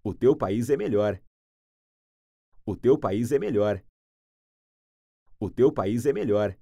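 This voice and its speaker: Slow, deliberate man. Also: mid-range voice, lively intonation, mellow, no room sound, lo-fi sound. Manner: repeats each phrase three times, clearly and deliberately. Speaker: language teacher. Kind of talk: slow phrase repetition for learners.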